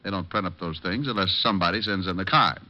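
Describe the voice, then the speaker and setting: An actor playing a breezy, wry private detective who narrates and wisecracks in an old radio drama style. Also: male voice, deep voice, fast talker, close to an everyday voice. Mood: brisk, wry. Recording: muffled old radio recording.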